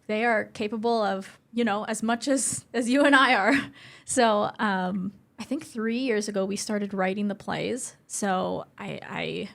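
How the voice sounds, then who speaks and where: A woman's voice: mid-range voice, gravelly. Woman, casual interview guest. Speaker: guest, youth theatre instructor.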